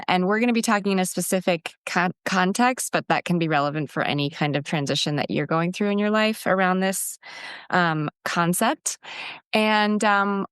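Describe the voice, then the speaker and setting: A woman's voice: mid-range voice, a bit bright, dry recording, clean studio sound. A woman hosting a podcast, chatting casually with frequent ums. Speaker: podcast host.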